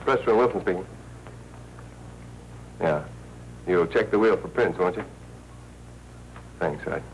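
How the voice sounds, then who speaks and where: A man's voice: low-pitched, slow, highs cut off. An actor playing a jittery, snappish American newspaperman in 1940s film noir style, terse and slangy. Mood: businesslike. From United States. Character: jittery, snappish newspaperman worried over a missing girl. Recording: noisy, crackly 1940s film soundtrack.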